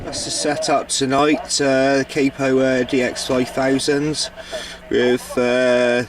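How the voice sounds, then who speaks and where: A man giving an informal vlog narration about his radio setup, speaking in a halting, hesitant way. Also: mid-range voice, flat delivery, crowd noise. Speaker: amateur CB radio operator filming his setup. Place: outdoors or in a car on high ground at night. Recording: clear ambient outdoor and vehicle noise.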